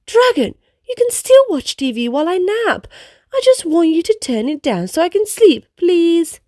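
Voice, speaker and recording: A woman's voice: very high-pitched, big pitch swings, normal speed, warm tone, no room sound, clean studio sound, pitched above the performer's own voice. A woman voicing a tired, polite female bear who pleads courteously in a children's storybook. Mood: pleading. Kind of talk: children's picture book read-aloud.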